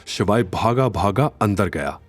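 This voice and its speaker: Lively male story narrator, slightly husky. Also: lowish voice, measured pace, no room sound, soft background music. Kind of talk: dramatic scripted story narration.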